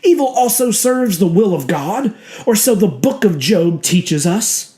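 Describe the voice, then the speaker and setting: A man's voice: high voice, sing-song, measured pace, mellow, pitched above the performer's own voice. A man voicing a petulant, self-righteous small-town reverend with a beast inside, preaching his own excuses in an indignant, brooding way for a horror audio drama. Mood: self-righteous, scheming. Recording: music behind the voice.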